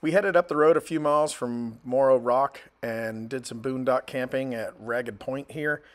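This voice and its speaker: A man's voice: mid-range voice, normal speed. An American man chatting casually and narrating a road trip vlog in a laid-back, joking, folksy way. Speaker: travel vlogger. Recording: noisy phone audio with vehicle and outdoor noise.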